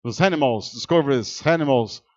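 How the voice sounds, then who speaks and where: A man's voice: mid-range voice, normal speed, a little mellow, lo-fi sound. A man with a Brazilian Portuguese accent preaching a sermon as pastor, arguing rhetorically and putting questions to his congregation. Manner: rhetorical, quizzes the congregation and acts out arguments. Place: church service.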